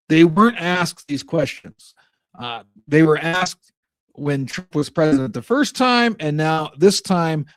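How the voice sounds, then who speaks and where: A man in his younger years talks through a political monologue, restarting sentences and sounding exasperated. His voice sits a little high for a man. The sound is dry and close, with no room around it. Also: no echo. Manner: rambling, exasperated, frequent restarts. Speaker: talk show host.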